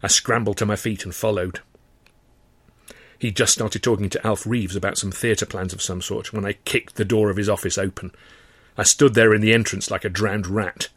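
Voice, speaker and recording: Low-voiced man narrating a story dramatically. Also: normal speed, dry recording. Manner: expressive, short clipped phrases. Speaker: author reading his own novel. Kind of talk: dramatic first-person audiobook narration.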